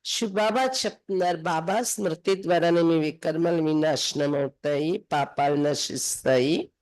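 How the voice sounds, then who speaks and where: A woman's voice: sits low, measured pace, darkish tone. A woman from India, reading scripture aloud and explaining it phrase by phrase as a spiritual teacher. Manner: instructive, reads then translates and explains phrase by phrase.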